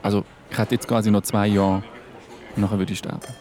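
A man's voice: lowish voice, flat delivery, brisk, darkish tone, close to an everyday voice. A man in his forties playing a man who faces death, speaking a reflective, anguished monologue in an audio drama. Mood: defiant. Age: 40s. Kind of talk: theatrical audio drama monologue over music.

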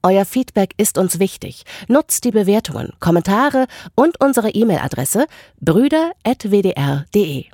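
A woman's voice: mid-range voice, sing-song, normal speed, warm tone, no echo, studio quality. A woman reading a scripted podcast outro announcement.

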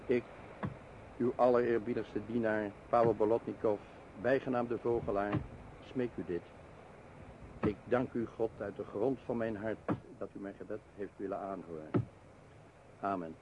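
An actor playing a devout, cautious mountain guide in a radio play, speaking in an earnest, formal way. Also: male voice, on the low side, steady tone, moderate pace, pauses often, lightly acted. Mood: humble, prayerful. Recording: muffled noisy old radio recording.

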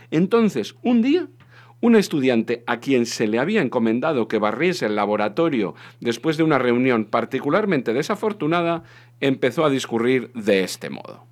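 Man, Spanish accent from Spain, very varied intonation, playful, theatrical storyteller. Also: mid-range voice. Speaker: show co-host and reader. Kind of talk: expressive reading of a comic science fiction novel.

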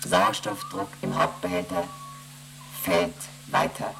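A deep, gravelly ship computer. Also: male voice, slow pace, music in the background, exaggerated voice. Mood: flat reporting. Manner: flat, mechanical, reports numbers and rules. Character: emotionless onboard computer, male, reciting readings. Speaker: ship's computer. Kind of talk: radio play acting.

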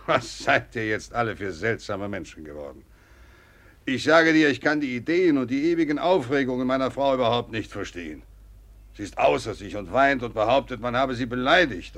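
An actor playing a talkative, agitated old Russian general who blusters and rambles confidentially, in a theatrical German radio play. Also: male voice, mid-range voice, expressive, normal speed, a bit bright. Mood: flustered, indignant. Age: older. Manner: blustering, rambling, confidential, hesitating mid-sentence.

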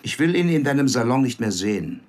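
An actor playing a ruthless, scheming pimp boss in a radio drama, speaking in cold commands. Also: male voice, medium pitch, big pitch swings, brisk, a little mellow, natural voice.

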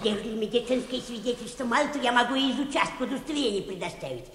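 A woman's voice: a little high, animated, fast talker, a little hiss or hum, close to an everyday voice. A woman playing a pushy, wheedling petitioner in a comic radio play, plaintive, obsequious and insistent. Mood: pleading, insistent. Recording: echoey hall, long reverb.

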